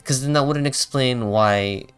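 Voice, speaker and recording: A man's voice: medium pitch, unhurried, clear, resonant tone. A man giving casual live commentary as he plays a game, thinking aloud as he streams. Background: faint game music.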